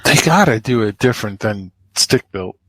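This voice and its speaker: Woman, co-host, husky, dry close sound, brief casual interjections. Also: extremely low pitch, expressive, moderate pace, a bit bright, dry recording, low-quality recording. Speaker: podcast co-host.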